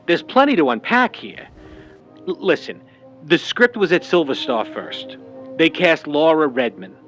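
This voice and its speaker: Wry old-Hollywood noir fixer, lively delivery. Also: male voice, a little high, a little slow, faint music behind. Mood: knowing. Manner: wry, slangy, hard-boiled patter. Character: wary fast-talking old Hollywood talent agent, man. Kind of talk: 1940s noir audio drama acting.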